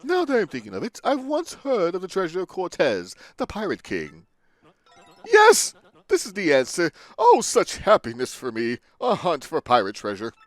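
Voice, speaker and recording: A man's voice: sits high, wildly swooping pitch, moderate pace, dry recording, voice pushed up. A man voicing a flamboyant, vain rich male trader, reading his lines in a theatrical put-on accent. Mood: dramatic. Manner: theatrical, exclamatory, mock Italian-style accent put on. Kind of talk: reading game dialogue aloud in a put-on voice.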